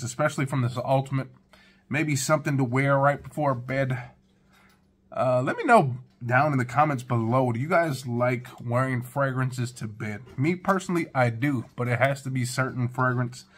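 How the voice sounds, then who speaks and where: A relaxed man in his thirties talks to the camera from his living room, reviewing fragrances in a loose, chatty way. He speaks right to his viewers at a mid-range pitch, recorded close up. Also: slightly rough. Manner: laid-back, chatty, talks straight to viewers. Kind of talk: casual first-impressions review.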